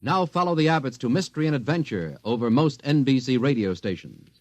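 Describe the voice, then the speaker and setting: A man's voice: medium pitch, lively intonation, fairly quick, no room sound. A man reading a 1950s radio public service announcement in an earnest, persuasive way. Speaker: commercial announcer. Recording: dry old 1950s radio broadcast.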